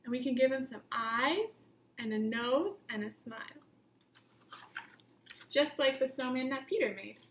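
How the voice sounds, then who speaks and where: A woman teacher reading a picture book aloud to young children, with expressive emphasis and simple questions put to the listeners. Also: sits high, unhurried, long pauses, reverberant, slight background noise. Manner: simple instructive phrasing, questions addressed to children.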